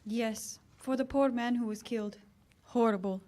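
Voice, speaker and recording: A woman's voice: a little high, steady tone, normal speed, warm tone, close to an everyday voice. A woman playing an elegant, poised young woman, polite yet defiant, in 1940s radio theater style. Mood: cool, pained.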